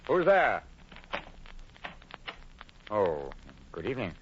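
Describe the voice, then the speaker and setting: A man's voice: fairly low pitch, wildly swooping pitch, slow, full character voice. A man acting an uneasy, secretive French expatriate in old radio horror, speaking formally and dramatically, faltering when pressed. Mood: guarded, polite. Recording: muffled old radio broadcast, faint hiss.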